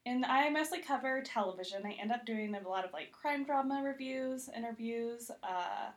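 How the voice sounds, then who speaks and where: Chatty, higher-voiced woman guest. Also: normal speed. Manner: chatty, self-deprecating humour, frequent "like". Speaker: podcast guest, TV critic. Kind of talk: casual interview chat.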